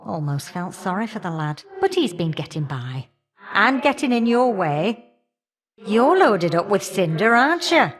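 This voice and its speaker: A woman's voice: medium pitch, big pitch swings, unhurried. A woman voicing a feisty, folksy elder goddess of flame in a video game, sassy and affectionately teasing. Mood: wry, reflective. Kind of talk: video game character dialogue.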